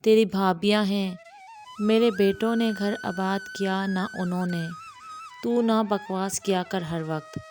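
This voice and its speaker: Woman voicing a scolding elderly village mother, unhurried. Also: mid-range voice, over music, natural voice.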